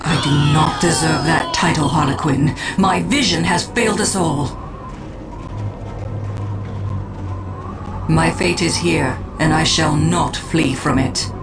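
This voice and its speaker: A woman playing a proud, solemn alien seer in a game cutscene, speaking formally and gravely. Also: sits low, unhurried, few pauses. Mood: grave, resigned. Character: solemn, proud female Eldar seer commanding warriors. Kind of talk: scripted game cutscene acting. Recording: distant voice under loud game music.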